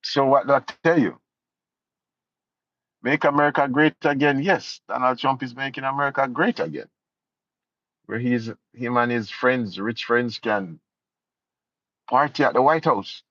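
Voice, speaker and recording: A man's voice: mid-range voice, a little slow, pauses often, a bit bright, no room sound. A Jamaican man giving casual political commentary, indignant and sarcastic. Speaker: vlogger. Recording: dull lo-fi dry sound.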